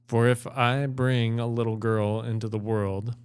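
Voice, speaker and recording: A man's voice: medium pitch, level tone, unhurried, smooth. A man reading fairy tales aloud as an amateur co-host, moving between storybook narration and casual chat.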